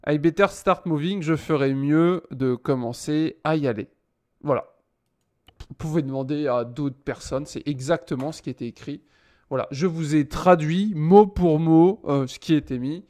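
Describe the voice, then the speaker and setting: French guy in his 30s streaming a game from home, kinda high voice, teasing his chat. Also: normal speed, warm tone. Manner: joking, teasing chat, mock-indignant. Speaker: gaming streamer. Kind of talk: casual Let's Play commentary. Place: small room at home.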